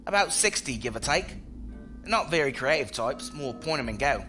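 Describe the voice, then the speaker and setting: A blunt, folksy spacer played by a man in a science fiction audio drama. His voice is bright and very animated, middle in pitch, with colloquial turns and a loyal streak. Faint music sits behind him. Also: normal speed. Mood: briefing eagerly. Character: rough-spoken ex-security chief, blunt, loyal male spacer.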